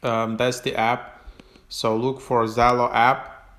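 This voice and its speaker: Male tutorial host, mid-pitched, flat intonation, halting, casual unscripted app demo. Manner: hesitant, halting delivery with trailing phrases.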